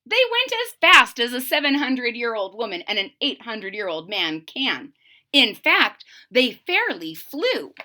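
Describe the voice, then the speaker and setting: A woman reading a storybook aloud to young children, expressively, with direct asides to the listeners. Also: fairly high pitch. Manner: deliberate, animated, addressing children directly. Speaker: storybook reader.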